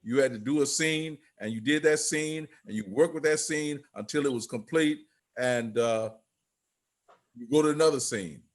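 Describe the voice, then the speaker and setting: An older man giving informal remarks on a group video call in a halting, rambling way. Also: mid-range voice. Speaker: theatre company leader.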